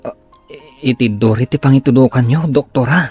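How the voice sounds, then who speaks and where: An actor plays a young patient in a radio drama, earnest and a little stubborn. His voice is husky and of middling pitch. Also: a little fast, darkish tone, dry recording, soft background music, close to an everyday voice. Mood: anxious, agreeable. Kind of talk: Ilocano radio drama acting.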